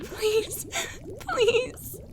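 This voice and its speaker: A woman's voice: extremely high pitch, drawn-out words, background noise, heavily acted. A woman playing a tiny, frightened enchanted doll, a cursed waitress, pleading hesitantly in a roleplay audio drama. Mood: panicked. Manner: breathy, hesitant, urgent pleading.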